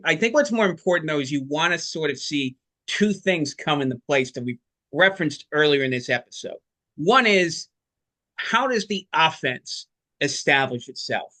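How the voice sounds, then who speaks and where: A man with a fairly high, forceful voice talks through basketball analysis as a podcast co-host. He explains his points with emphasis in a conversational style.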